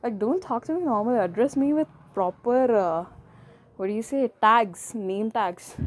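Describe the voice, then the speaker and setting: A woman's voice: on the high side, animated, a little slow. A young woman with an Indian accent hosting a solo podcast, rambling casually at home and stringing thoughts together with lots of filler phrases. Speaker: podcast host.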